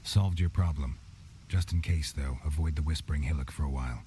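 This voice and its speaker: An actor playing a grizzled, skeptical monster hunter in a video game, gravelly, clipped and deadpan. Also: male voice, rumbling low, brisk, background noise. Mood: matter-of-fact. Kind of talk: dramatic video game acting. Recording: music under the dialogue.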